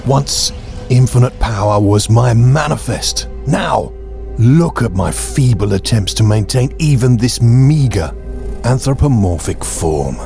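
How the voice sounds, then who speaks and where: A man's voice: mid-range voice, animated, unhurried, gravelly, dark tone, soft background music. A man playing a sinister humanoid alien villain in an audio drama, grandiose and formal in his diction, with a bitter edge.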